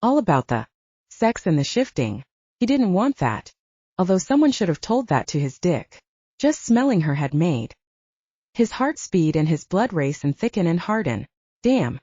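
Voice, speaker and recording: A woman's voice: on the low side, mellow, husky, dry recording, dull audio. A woman reading a fiction audiobook as a scripted narration.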